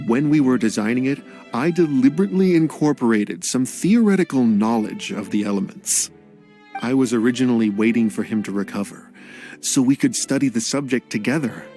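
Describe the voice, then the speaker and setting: A gentle, grieving scholar speaks in a fairly low voice. He talks softly and reflectively, often sighing between thoughts. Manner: soft-spoken, sighing, reflective. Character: grieving male scholar and game creator, gentle. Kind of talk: game cutscene voice acting.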